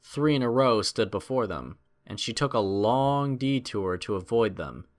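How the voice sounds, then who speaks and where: A man's voice: mid-range voice, expressive, a little slow. Guy narrating a suspense adventure story, mid-range voice, dramatic audiobook style.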